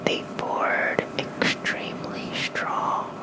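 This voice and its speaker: An ASMR creator softly whispering lore as a bedtime reading with casual asides, close to a fluffy-covered microphone. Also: drawn-out words, poor audio.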